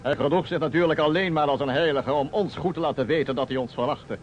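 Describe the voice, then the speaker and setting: A man's voice: a little high, fast talker, natural voice. A man acting a wry, gossipy small-town baker being questioned in a Dutch radio play. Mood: insistent. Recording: dull old radio recording, faint noise.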